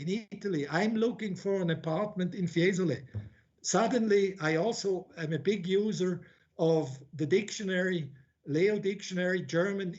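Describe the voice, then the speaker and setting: A high-pitched man who grew up in Switzerland delivers an academic conference talk. He thinks aloud with many restarts and drops blunt, informal asides. The recording sounds dull and muffled. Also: a little mellow. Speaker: academic speaker.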